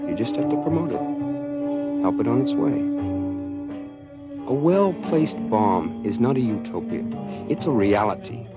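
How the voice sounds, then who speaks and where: An actor playing a bitter young anarchist, declaiming a deliberate manifesto as a radio drama monologue over guitar music. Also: male voice, lowish voice, natural voice. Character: bitter young radical, doctor's anarchist son.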